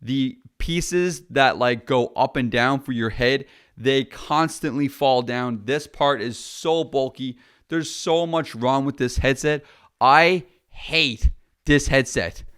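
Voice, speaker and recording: A man's voice: medium pitch, slow pace, fairly bright tone, clear, resonant tone. A man, a filmmaker, chatting casually and bluntly through a product review.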